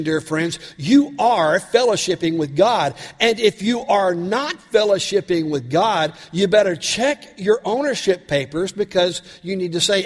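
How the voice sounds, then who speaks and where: A male pastor, raspy from a cold, preaching a sermon with earnest questions to his congregation. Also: sits high, in a large hall.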